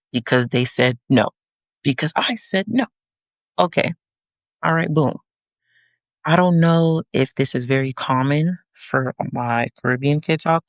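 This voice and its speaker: A young adult woman hosting a podcast, giving a sassy, animated monologue with teasing asides to her listeners. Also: low-pitched, no room sound. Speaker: podcast host.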